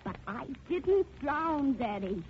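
An actress in a 1940s radio drama playing a wronged young daughter who confronts her would-be killer with slow, haunting taunts. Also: high voice, very animated, exaggerated voice. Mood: eerie accusing. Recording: muffled, noisy old 1940s radio recording.

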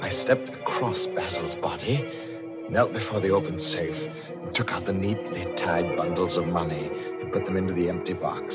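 A cold, scheming murderer played by a male actor in a muffled old radio mystery. His low voice swings widely, theatrical and menacing, savoring each deliberate phrase with gloating relish. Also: close to an everyday voice. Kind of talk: 1940s radio mystery acting, with first-person narration.

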